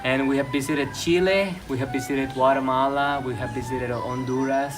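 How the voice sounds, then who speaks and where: Young Mexican-accented male guest, calm and hesitant, music clearly in the background. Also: medium pitch, normal speed. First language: Spanish. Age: young adult. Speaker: interview guest. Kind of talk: casual interview talk.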